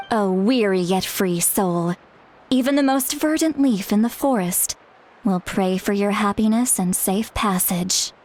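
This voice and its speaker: A woman's voice: mid-range voice, darkish tone. A woman playing a young female seer in a video game, formal and aloof but self-conscious. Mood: solemn. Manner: formal, self-conscious, punctuated by sighs and throat clearing.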